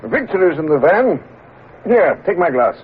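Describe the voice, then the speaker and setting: An actor playing a commanding senior naval captain who talks warmly and briskly. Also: male voice, sits high, sing-song. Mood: hearty. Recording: tinny, hissy old radio broadcast.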